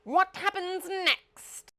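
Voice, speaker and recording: A woman voicing a blustering, bossy but kindly old Duke in a children's storybook, loud and imperious. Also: very high voice, drawn-out words, pitched above the performer's own voice, heavily acted. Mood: gruffly accepting.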